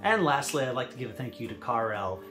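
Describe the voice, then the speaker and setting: A man's voice: mid-range voice, big pitch swings, fast talker. A man speaking directly to listeners as an author and channel host, giving an outro. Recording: roomy echo, music behind. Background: guitar music.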